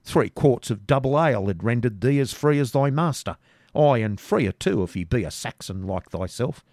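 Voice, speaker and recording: A man's voice: mid-range voice, animated, normal speed, a little mellow, no echo, studio quality, voice pushed up. A man voicing a bold, mocking outlaw leader who gives harsh commands. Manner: taunting, commanding.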